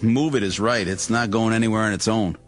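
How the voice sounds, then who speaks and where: An actor playing an easygoing, wisecracking American car-lot assistant in a radio drama, with a casual, folksy way of talking. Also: male voice, lowish voice, brisk, close to an everyday voice. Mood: wry.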